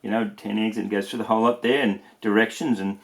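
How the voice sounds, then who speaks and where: A man's voice: fairly low pitch, steady tone, quick pace, gravelly. A man reading a children's storybook aloud in a playful way, with chatty asides. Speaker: storybook reader. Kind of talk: animated children's read-aloud.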